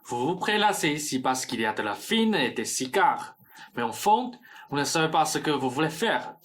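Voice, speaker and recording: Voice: mid-range voice, big pitch swings, normal speed, fairly bright tone. A young student actor playing a sullen, lovesick young male apprentice thief in a radio play, earnest and insistent. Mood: impatient. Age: young adult. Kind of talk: theatrical radio-play acting.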